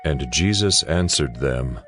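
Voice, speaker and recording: A man narrating scripture from a script in a measured, formal storytelling manner. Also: very deep voice, animated, fairly bright tone, soft background music, exaggerated voice.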